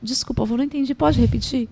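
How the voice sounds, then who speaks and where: A Brazilian woman with a fairly high voice hosts a relaxed podcast conversation. She laughs often and keeps the chat lively and animated. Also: a little fast, creaky, a little hiss or hum. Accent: Brazilian Portuguese. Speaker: podcast host. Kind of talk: casual chat.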